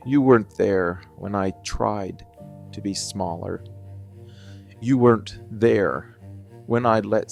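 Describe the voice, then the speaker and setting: A man playing a cold, embittered dark-fantasy villain in an ASMR monologue, speaking deliberately with heavy pauses. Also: lowish voice, vocal fry, heavily acted. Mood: accusing, wounded.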